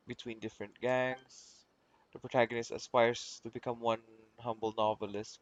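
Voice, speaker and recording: A man's voice: on the low side, dry recording, highs cut off. A man giving casual commentary on a video game playthrough, with game music behind him. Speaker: game streamer.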